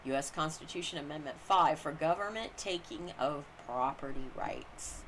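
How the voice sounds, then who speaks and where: A woman with a slightly low voice narrates a formal written text. She delivers it deliberately, phrase by phrase, with careful emphasis. The recording carries some light background noise. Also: fairly bright tone. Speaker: reader of a book on constitutional law. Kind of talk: scripted narration, reading aloud. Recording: clearly noisy amateur recording.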